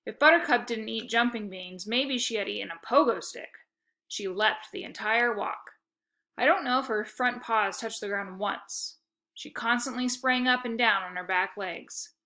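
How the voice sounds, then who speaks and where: A woman reading a children's book aloud as an expressive storyteller, acting out the dialogue. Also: on the high side, normal speed. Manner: animated, acts out dialogue.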